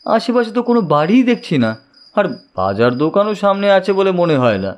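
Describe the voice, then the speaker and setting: Grumbly wary young pal, high animated voice, music behind. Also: male voice, fairly quick. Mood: weary, puzzled. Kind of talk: Bengali audio horror story acting.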